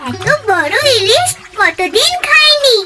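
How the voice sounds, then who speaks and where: A woman's voice: very high voice, big pitch swings, slow pace, over loud music, exaggerated voice. A woman voicing a sweet, wide-eyed little village girl in a cartoon, childlike and eager. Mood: delighted. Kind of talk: cartoon fairy-tale voice acting.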